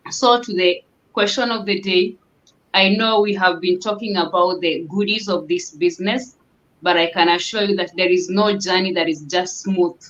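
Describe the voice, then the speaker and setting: A woman's voice: on the low side, fairly bright tone. A woman hosting a casual online panel chat and handing the word to each guest. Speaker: host of a business chat series. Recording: dull lo-fi sound.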